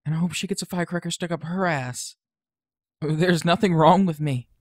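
A young man playing a sullen, sarcastic, troubled high school boy in an audio drama, hesitant and defensive. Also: normal speed, no echo, clean studio sound, close to an everyday voice. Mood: defensive, angry.

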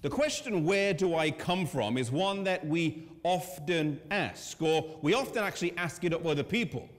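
English pastor with hall echo. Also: male voice, fairly high pitch, animated. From England. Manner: conversational, self-deprecating, with pauses and fillers. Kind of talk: sermon presentation. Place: church hall with a seated audience. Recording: long church hall reverb.

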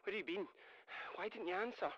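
A woman's voice: medium pitch, fast, dry recording. An actor, a woman, playing a frantic, upset caller speaking urgently on the phone in an audio drama. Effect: phone call. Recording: muffled phone call sound.

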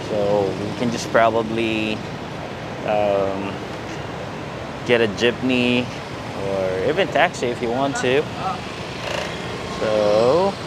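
A man with a Filipino accent narrates a night walking tour outdoors, talking haltingly with many fillers into a handheld camera mic. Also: medium pitch. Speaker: vlogger giving a walking tour. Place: busy city street at night, outdoors. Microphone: handheld camera mic while walking. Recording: loud street traffic noise. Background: loud traffic and street noise.

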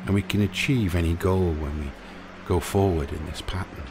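A man narrating a guided sleep meditation in a soothing, gentle, unhurried way, leaving pauses between short phrases. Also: low-pitched, noisy background. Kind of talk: guided sleep meditation, hypnotic relaxation countdown. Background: music.

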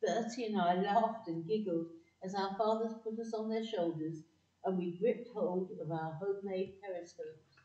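A woman's voice: mid-range voice, moderate pace, warm tone, lightly acted. A woman playing a narrator fondly recalling her childhood in a community audio play. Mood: nostalgic. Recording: dull, echoey, slightly distant.

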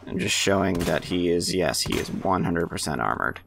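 A man giving casual, offhand gameplay commentary in a low-key way. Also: expressive, moderate pace, gravelly, background noise. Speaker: gamer, walkthrough commentator.

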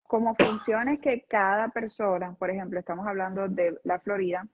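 A woman's voice: medium pitch, fairly even intonation, brisk, very noisy. A woman in her thirties, a lawyer, explaining cases in earnest on a video call with frequent restarts. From lived in Venezuela, not born there. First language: Spanish. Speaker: personal injury lawyer, guest. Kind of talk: explaining legal cases in an interview. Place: office with a city window behind. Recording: dull lo-fi video call audio.

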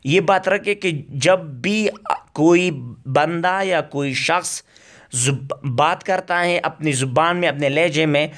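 A man teaching from slides, talking slowly with many hesitations and reading English lines out very slowly. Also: a little high, fairly bright tone. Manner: slow, halting, many "uh" fillers. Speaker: accent teacher. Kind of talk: slide lecture.

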